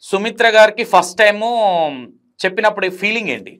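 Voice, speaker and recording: A man's voice: sits high, fairly even intonation, quick pace, sharp tone. A man hosting a casual phone interview, asking probing questions in a teasing, prodding manner. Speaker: interview host.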